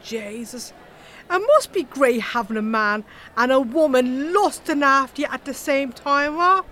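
An actress playing a blunt, chatty, gossipy Irish animal-rights activist with an earthy colloquial manner in a comedy audio drama. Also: female voice, high-pitched, lively intonation, measured pace, a bit bright, slight background noise. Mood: cheeky.

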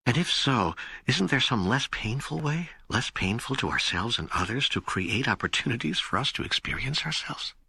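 A man's voice: medium pitch, lively intonation, moderate pace, gravelly, hardly any pauses, sharp tone, breathy edge, no room sound. A man reading the questioning side of a scripted audiobook dialogue in an earnest, searching, emphatic manner. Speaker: author and audiobook co-narrator.

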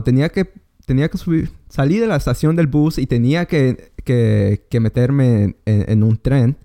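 Hesitant man, mellow voice. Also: medium pitch. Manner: hesitant, many fillers and restarts. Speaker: interviewer. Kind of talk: casual conversation.

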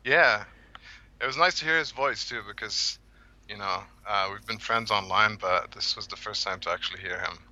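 Bright-toned man, halting and reflective. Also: on the low side, poor audio. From Egypt. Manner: halting, many "uh" fillers. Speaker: author guest. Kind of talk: podcast interview, reflective storytelling.

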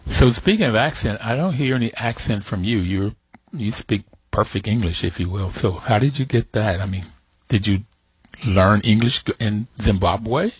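A man's voice: on the low side, normal speed, husky, dry recording. A man hosting a radio interview, asking curious, conversational questions. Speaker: radio host.

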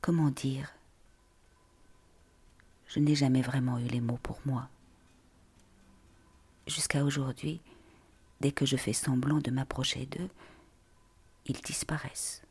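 A literary reading in a half-whispered, very husky voice. The phrasing is measured and slow, with plenty of creak at the edges. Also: mid-range voice, level tone, a little mellow.

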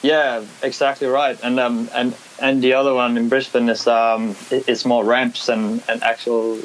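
Danish-accented man, flat halting voice. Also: medium pitch, rough recording. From Denmark. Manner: halting, many restarts and fillers. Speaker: skateboarder, competition winner. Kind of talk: casual interview. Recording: faint background hiss.

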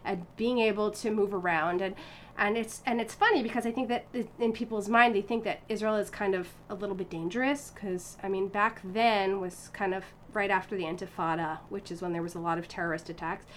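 A woman's voice: medium pitch, a little fast, a little hiss or hum. A woman in her thirties from the United States, telling her story in a casual interview with many fillers, restarts and laughs. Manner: animated, frequent fillers and restarts, laughs often. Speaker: interview guest, violinist.

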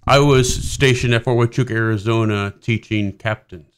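A man's voice: medium pitch, fairly even intonation, normal speed, smooth. Matter-of-fact army officer in his forties. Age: 40s. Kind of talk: interview answers.

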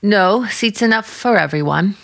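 A woman's voice: mid-range voice, lively intonation, normal speed, creaky, a bit bright, low-quality recording. An actress playing an earnest, troubled young American small-town woman with restrained resolve, in vintage radio drama style. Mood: guarded.

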